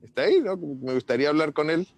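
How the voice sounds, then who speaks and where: Casual guy on a call, highish voice, tells funny stories, dry sound. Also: lively intonation, quick pace, a little mellow, no echo. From Chile. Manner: chatty anecdote-teller, laughs while talking. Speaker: conference participant. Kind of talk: casual chat.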